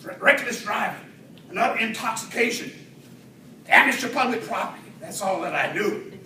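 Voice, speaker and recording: A man with a very high, bright voice performs a comic tall tale as a speech. He draws words out theatrically, with dramatic pauses and swells. The recording is very roomy and picked up from a distance. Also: lively intonation. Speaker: speech contest storyteller at a Toastmasters club. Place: indoor room with an audience. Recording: roomy, distant mic, faint noise.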